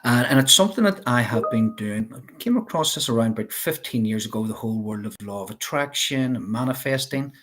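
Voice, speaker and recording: A man's voice: mid-range voice, lively intonation, fairly quick, hardly any pauses, fairly bright tone. A man with a Belfast accent, a life coach guest explaining his ideas earnestly, with many fillers. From Northern Ireland. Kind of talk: interview chat.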